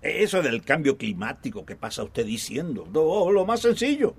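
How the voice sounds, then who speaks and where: Gravelly, animated country dad. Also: male voice, a little high, normal speed, full character voice. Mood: dismissive. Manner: chuckling, repetitive, folksy. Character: evasive rural father, chatty, dismissive older man. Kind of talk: radio drama acting.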